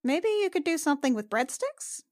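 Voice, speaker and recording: A woman with a fairly high voice plays a tabletop roleplaying game. She talks in loose, slangy, improvised chat, and the recording is very dry. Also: big pitch swings, a little fast, a little mellow, no echo. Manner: slangy, conversational.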